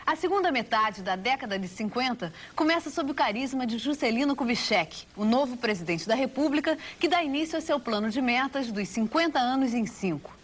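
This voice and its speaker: A Brazilian woman narrates a documentary from a prepared script. Her voice sits somewhat high for a woman. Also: expressive, brisk, hardly any pauses.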